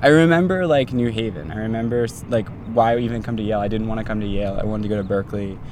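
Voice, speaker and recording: A man's voice: lowish voice, very fast, darkish tone, a little hiss or hum. A young man in his twenties, a doctoral student, telling his story in a casual, rambling way full of fillers like "like" and "um". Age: 20s.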